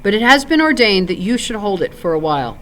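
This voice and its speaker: A woman's voice: medium pitch, big pitch swings, quick pace, lightly acted. A woman voicing a noble, resolute male ranger in a solemn, declarative storybook manner. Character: noble, resolute ranger man, heir of kings.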